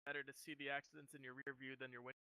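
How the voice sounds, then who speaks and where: A man talking as a race driver in a post-race interview, halting and casual with many fillers. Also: mid-range voice, flat delivery, bright, forceful tone, clear, resonant tone, no room sound.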